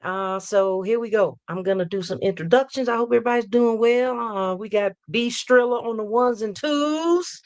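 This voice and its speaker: A hoarse woman with a fairly high voice hosts a live sports fan show. She talks in upbeat, rambling bursts and repeats her hype lines. The sound is dry and close. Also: normal speed, few pauses, a little mellow, no echo. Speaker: host.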